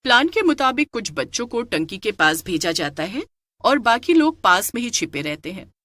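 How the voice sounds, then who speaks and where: Female storyteller for scripted dramatic tales: highish voice, very lively melody. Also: normal speed, dry recording. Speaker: story narrator.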